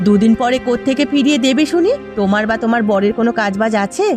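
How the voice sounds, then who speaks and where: A woman's voice: on the high side, quick pace, darkish tone, soft background music, pitched below the performer's own voice, close to an everyday voice. A woman voicing a grumpy, stingy village housewife in a cartoon, with a scolding, sneering manner. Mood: scornful, scolding. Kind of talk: Bengali cartoon voice acting.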